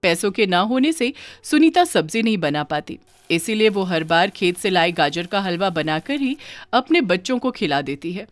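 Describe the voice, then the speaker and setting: A woman's voice: lowish voice, big pitch swings, normal speed, no room sound, faint music behind. A woman reading a scripted children's moral story as its narrator.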